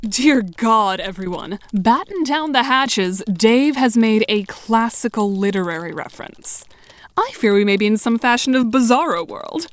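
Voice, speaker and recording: A woman's voice: fairly high pitch, animated, measured pace, slight background noise. A woman playing a dry, self-assured, sardonic teenage girl with formal diction in an audio drama.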